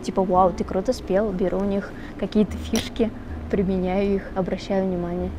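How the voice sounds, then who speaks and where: A young woman singer answering interview questions in a relaxed, chatty way, with many fillers. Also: medium pitch, normal speed. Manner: relaxed, chatty, switches between Russian and Tatar, many fillers. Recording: noisy background with traffic.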